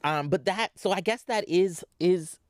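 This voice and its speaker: A man from South Carolina chatting casually as a podcast guest, expressive and emphatic. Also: high-pitched, a little slow, no echo, studio quality. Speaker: guest, journalist. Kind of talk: casual chat.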